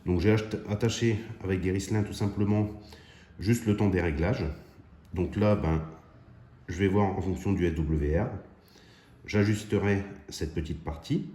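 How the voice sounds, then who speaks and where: A man's voice: low-pitched, a little slow, warm tone, breathy edge. A man hosting a hobby DIY video, chatting informally and thinking aloud as he demonstrates by hand. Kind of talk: casual hands-on demonstration.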